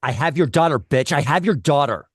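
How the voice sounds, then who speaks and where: A man's voice: a little high, expressive, fairly quick, breathy edge, dry recording, studio quality. A man acting a menacing, blunt and foul-mouthed kidnapper making threats in a script table read. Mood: aggressive, threatening. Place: video call.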